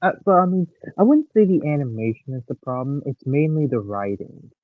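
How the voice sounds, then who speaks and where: Lively teenage guy on a tinny line. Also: on the high side, a little fast. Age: late teens. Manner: hesitant, animated, opinionated fan. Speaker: stream guest. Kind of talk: casual chat. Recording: tinny phone-like call line with faint hiss.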